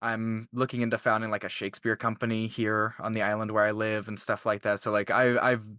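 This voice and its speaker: A man's voice: fairly low pitch, flat delivery, a little fast, sharp tone, clean tone, no room sound, clean studio sound. A man of twenty-two from Canada chatting casually as a voice actor in an interview.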